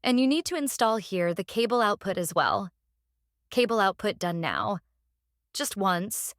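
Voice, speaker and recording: A woman narrating a casual software tutorial, explaining step by step in a halting way and repeating phrases. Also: medium pitch, sing-song, no echo, studio quality. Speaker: tutorial presenter.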